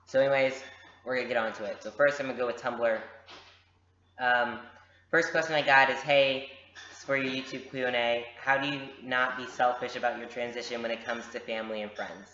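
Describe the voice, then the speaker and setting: A man's voice: medium pitch, fairly even intonation, fairly quick, pushed and bright, echoey room, dull audio, slight background noise. A young man answering viewers' questions in a casual vlog, frank and direct, with lots of fillers. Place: home room with a pet bird. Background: bird noises.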